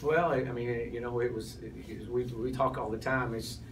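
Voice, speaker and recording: A man's voice: medium pitch, fairly even intonation, fairly quick. A man in his forties, a head football coach, answering questions at a postgame press conference with many restarts and fillers. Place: press room, in front of a sponsor backdrop. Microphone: cluster of press mics on a table, heard from off. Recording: distant voice, noisy room.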